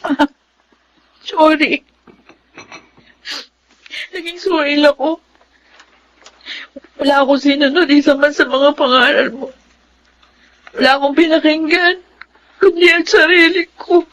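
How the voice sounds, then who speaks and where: Casting: troubled Filipino teen daughter, piercingly high, bright voice, whiny, emotional radio-drama acting. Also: female voice, takes it slowly, long pauses, rough recording. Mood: remorseful. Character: rebellious, troubled eighteen-year-old pregnant daughter.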